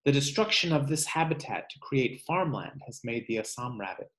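A man in his thirties with a mid-range voice speaks as a teacher to young pupils. He phrases things in a measured, clear way, as in a picture book read-aloud. He is recorded in a room at home on a webcam. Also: mid-range voice, lively intonation. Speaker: teacher reading aloud to third-grade class.

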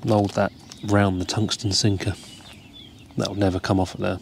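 A man, calmly explaining step by step how to tie a fishing rig, recorded outdoors. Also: low-pitched, moderate pace, creaky, slight background noise. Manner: relaxed, explains each step while tying. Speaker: carp fishing angler demonstrating a rig. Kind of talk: casual step-by-step tutorial. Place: outdoors by the water, seated over a tackle box.

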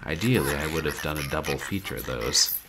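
A man in his thirties, a game streamer chatting casually at home in a wry, rambling, animated way. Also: rumbling low, a little fast, a crowd in the background. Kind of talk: casual livestream chat. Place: small room at home, indoors.

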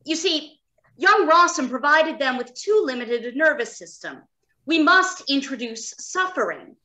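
A woman's voice: high voice, sing-song, unhurried, sharp tone, exaggerated voice. A woman acting a clinical, unfeeling robot scientist in an old-time radio drama, precise and matter-of-fact.

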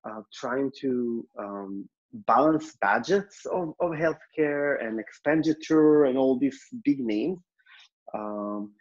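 A man's voice: medium pitch, darkish tone, slightly rough. A man from Israel with an Israeli accent answers conversationally as an interview guest, in a hesitant, reflective manner. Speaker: podcast guest, health entrepreneur and speaker. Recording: slightly dull lo-fi sound.

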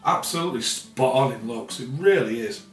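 A man's voice: mid-range voice, animated, normal speed. A middle-aged man casually reviewing a beer at home in his kitchen, rambling with many fillers and repeated words. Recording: roomy, slightly distant sound with faint music.

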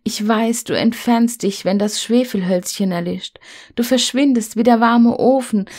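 A woman's voice: fairly high pitch, measured pace, darkish tone, voice pushed up. Woman acting out a desperate, pleading little girl. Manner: urgent, emotional pleading.

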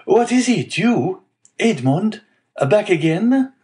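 A man's voice: high-pitched, sing-song, moderate pace, pitched above the performer's own voice. A man voicing a sly, greedy tailor in his twenties in a novel, over-friendly and effusive. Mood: hearty greeting.

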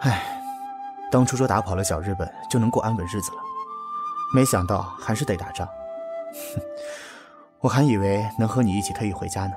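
An actor playing a proud, stubborn young army battalion commander in an audio drama, brusque and scoffing but fond underneath. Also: male voice, on the low side, a little fast, long pauses, lightly acted. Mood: wistful. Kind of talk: Chinese audio drama acting. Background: background music.

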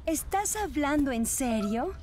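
A woman's voice: high-pitched, animated, unhurried, a little mellow, a little hiss or hum. A woman voicing a sensible teenage cartoon schoolgirl with a dry, teasing manner. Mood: sarcastic. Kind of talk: Spanish-language cartoon dub.

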